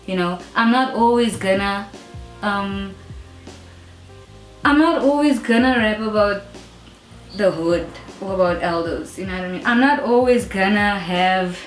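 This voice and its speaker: A young woman with a South African accent speaks her mind in a casual interview, firm and emphatic, with a steady "you know" running through her talk. Her voice sits at an ordinary pitch for a woman and rings in a roomy space, with faint music playing behind her. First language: English. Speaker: rap artist being interviewed.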